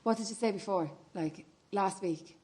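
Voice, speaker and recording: Radio play: insistent, stern female questioner played by an actress, medium pitch, clipped demands, relentless. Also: moderate pace, darkish tone, lightly acted. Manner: pressing, terse, repeating demands.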